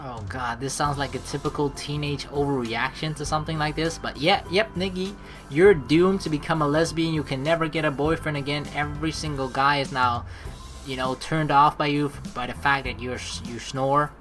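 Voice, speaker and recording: A woman's voice: low voice, fairly even intonation, moderate pace, fairly bright tone. A woman hosting a let's play, joking and exclaiming as she comments and reads game text aloud. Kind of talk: gameplay commentary mixed with reading game narration aloud. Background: game music.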